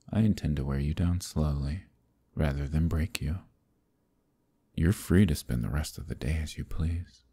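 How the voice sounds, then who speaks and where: A man voicing a proud, scheming demon king in an audio roleplay, talking in a slow, silky, menacing way. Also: mellow, full character voice. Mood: seductive. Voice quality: deep gravelly voice. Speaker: voice actor. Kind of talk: ASMR roleplay.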